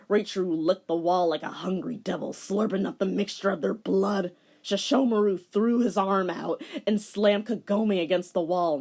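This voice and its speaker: A woman's voice: fairly low pitch, a little mellow. A woman dramatically narrating fan fiction, theatrical in her storytelling, with wry and casual asides. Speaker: fan fiction reader and commentator.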